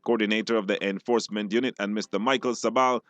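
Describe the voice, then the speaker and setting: Low-voiced Belizean man hosting radio. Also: fairly even intonation, fast, a bit bright, dry recording, highs cut off. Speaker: radio call-in show host. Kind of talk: casual live studio hosting. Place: radio studio.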